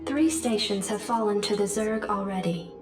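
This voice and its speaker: A woman's voice: mid-range voice, normal speed. A woman voicing an impersonal military computer in a video game, clipped, formal and emotionless, with a synthetic filter. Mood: flat, formal. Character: calm, impersonal female military computer adjutant. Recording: echoing, distant, with loud music.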